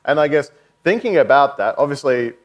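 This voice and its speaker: A man's voice: mid-range voice, expressive, a little fast, dull audio. Chatty man chairing a panel. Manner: chatty, joking, upbeat. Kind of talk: live panel hosting. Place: conference stage before an audience.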